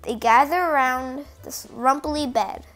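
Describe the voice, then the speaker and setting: A child reading a picture book aloud in an expressive storytelling style. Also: high voice, a little slow, soft background music.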